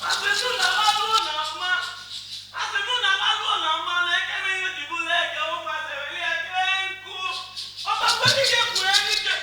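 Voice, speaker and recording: A woman with a Nigerian Igbo accent playing a fiery chanter who rouses the people with loud, repetitive, incantatory stage oratory. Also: very high voice, fast talker. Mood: rousing, declamatory. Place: stage in a large echoing hall, distant mic. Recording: echoey hall from far off, music behind.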